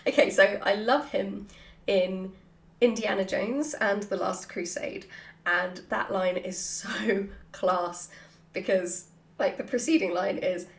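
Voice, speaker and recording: A woman chatting casually and playfully as she answers questions from her followers, with an animated manner. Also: mid-range voice, slow, vocal fry. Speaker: writer hosting a Q&A. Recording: roomy, echoey sound.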